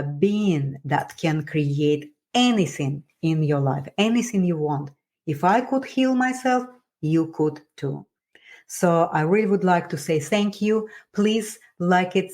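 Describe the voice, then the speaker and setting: A woman with a strong Russian accent, speaking as a wellness coach in an encouraging motivational talk, halting as she searches for words. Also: low-pitched, a little mellow. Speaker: wellness coach and podcast host.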